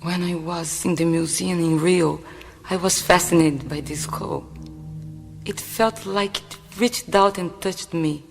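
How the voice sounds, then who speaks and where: A woman's voice: fairly low pitch, measured pace, gravelly, big-room sound, soft background music. An actress with a Brazilian Portuguese accent playing a mysterious woman who sternly questions a reluctant shaman in a radio play. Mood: wistful. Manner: stern, searching, probing questions.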